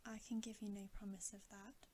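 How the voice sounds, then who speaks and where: A woman voicing a solemn, weary male lion leader from a storybook in a breathy, measured ASMR whisper. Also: mid-range voice, flat delivery, dark tone, slight background noise, natural voice. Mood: weary, resigned. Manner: grave, measured, slow.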